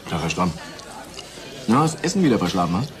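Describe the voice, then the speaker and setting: A jovial cynic of a company man in a radio drama, always needling. His low male voice moves widely in pitch. A crowd chatters behind him. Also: sing-song, brisk. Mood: teasing. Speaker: company colleague character. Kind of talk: German radio drama acting.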